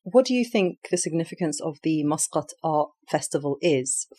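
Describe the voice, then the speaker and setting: A woman's voice: deep voice, expressive, moderate pace, mellow. A woman hosting a podcast who asks questions steadily and backs up answers with short affirmations. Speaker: podcast host. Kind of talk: hosted interview.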